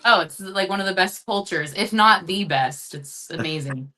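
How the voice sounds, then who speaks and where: A woman's voice: fairly low pitch, lively intonation, normal speed, pushed and bright. A woman guest, an opera singer from Northern Italy, chatting on a radio show and laughing easily. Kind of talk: casual chat.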